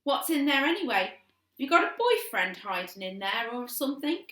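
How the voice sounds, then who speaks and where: A woman reading a story aloud, voicing a spoiled, taunting little sister with a high, sing-song voice. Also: very animated, normal speed, a bit bright. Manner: sing-song, teasing, whiny fake crying. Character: bratty spoiled little girl, gleeful and taunting. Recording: roomy sound from a distance.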